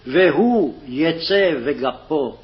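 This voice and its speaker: A man's voice: a little high, expressive, moderate pace. A man formally reciting scripture phrase by phrase, on a dull, lo-fi archival recording. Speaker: scripture reader. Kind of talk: scripted Hebrew Bible reading.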